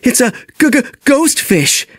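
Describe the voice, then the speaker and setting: A man voicing an excitable, easily frightened young boy sea creature in a boyish manner for a storybook. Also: extremely high pitch, measured pace, dry recording, clean studio sound, pitched above the performer's own voice, exaggerated voice. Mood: terrified.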